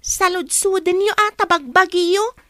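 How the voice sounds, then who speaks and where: A woman playing a resentful, sharp-tongued schoolgirl who argues and talks back in a radio drama. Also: very high voice, measured pace, no echo. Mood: taunting, scornful. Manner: scolding, argumentative.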